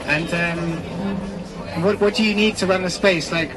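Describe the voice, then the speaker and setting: A man's voice: high voice, fairly even intonation, a little slow, rough recording. A man hosting a casual radio interview from a busy temporary street studio. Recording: music and busy crowd behind. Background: music, crowd.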